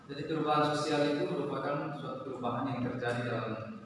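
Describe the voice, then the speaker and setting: A man's voice: mid-range voice, fast. A man answering a teacher's question in class, explaining steadily with some hesitations. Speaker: student. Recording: echoey room, voice from a distance, noisy.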